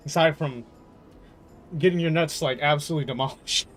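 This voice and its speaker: A man with a slightly high voice chats casually to viewers as he streams a game. He is jokey and animated, and game music plays faintly behind him. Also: fairly quick. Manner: animated storytelling, jokey, swearing, laughs often. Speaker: game livestreamer. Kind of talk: casual chat with viewers while gaming.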